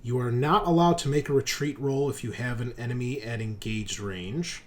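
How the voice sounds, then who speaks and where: A man's voice: medium pitch, normal speed. A man running a tabletop roleplaying game as game master, explaining rules and procedure step by step. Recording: clearly noisy background.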